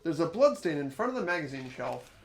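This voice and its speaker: A performer voicing an earnest, uneasy teenage schoolboy who narrates an investigation in a visual novel game, hesitant and expressive. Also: medium pitch, fast, vocal fry, soft background music. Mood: doubtful.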